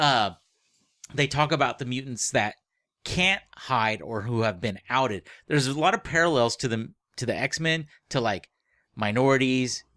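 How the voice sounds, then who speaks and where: Male podcast co-host, mid-range voice, dry close sound, halting conversational delivery with frequent rephrasing. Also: mid-range voice, sharp tone, no room sound. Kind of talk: casual book club discussion.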